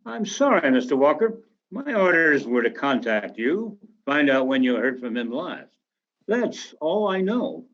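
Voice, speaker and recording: An actor playing a businesslike investigator in a radio drama, questioning politely and giving little away. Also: male voice, on the high side, sing-song, a little slow, dull audio. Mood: apologetic, evasive. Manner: probing, politely noncommittal. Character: businesslike, evasive male investigator following orders.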